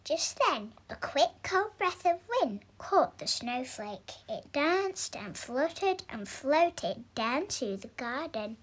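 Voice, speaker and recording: A young woman reading a children's story aloud in an expressive, deliberate way, drawing out words for emphasis. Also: high-pitched. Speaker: storybook reader.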